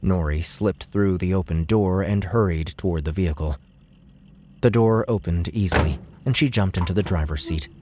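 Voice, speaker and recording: A man's voice: low voice, moderate pace, background noise. A man reading dramatic scripted narration as an audiobook narrator.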